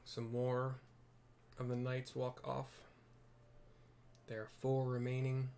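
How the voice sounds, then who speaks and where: A man narrates his game playthrough in a casual, musing way. His voice sits at a medium pitch, with a little noise in the background. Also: monotone, slow, dark tone. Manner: musing, thinking aloud. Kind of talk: casual commentary.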